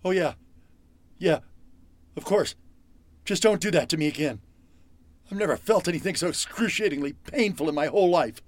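An actor playing a panicked, foul-mouthed captive in old-time radio horror style, halting and melodramatic. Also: male voice, fairly high pitch, natural voice. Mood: pleading. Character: panicked, foul-mouthed captive man pleading and scheming to escape.

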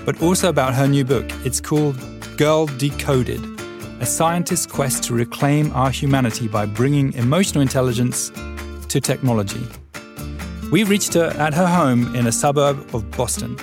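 A man's voice: medium pitch, moderate pace, music in the background. A man hosting a podcast, narrating a scripted intro and then asking questions conversationally with fillers. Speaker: podcast host.

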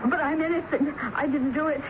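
Woman playing jittery typist lady in an old radio play, high plaintive voice, stammers, noisy muffled tape. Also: fairly even intonation, brisk, lightly acted. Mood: anxious, defensive. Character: nervous, defensive female typist accused of murder. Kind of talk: 1970s radio drama acting. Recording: noisy, dull 1970s radio broadcast.

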